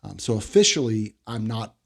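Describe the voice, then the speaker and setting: Forties male guest, gravelly and a bit husky, easygoing and candid. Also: on the low side, very animated, normal speed. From Florida, USA. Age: 40s. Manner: candid, chatty, trails off quietly. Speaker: guest, business owner. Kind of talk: casual interview chat.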